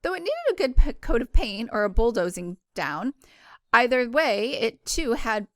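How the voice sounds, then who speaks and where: A woman with a fairly high voice reads a story aloud as a book club host. Her delivery is informal and chatty, with small stumbles that she corrects as she goes. The sound is very dry, with no room echo. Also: sing-song, moderate pace. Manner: informal, stumbles and self-corrects while reading. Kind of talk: casual read-aloud of a first-person novel with chatty asides.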